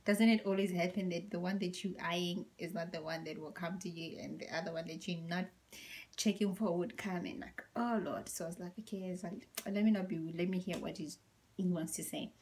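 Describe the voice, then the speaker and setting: Young woman, casual storyteller, lowish deep voice, whispers her asides, a bit tired. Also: a little fast, a little hiss or hum. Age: young adult. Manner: animated, acts out quotes, whispers asides. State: tired from a workout. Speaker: vlogger.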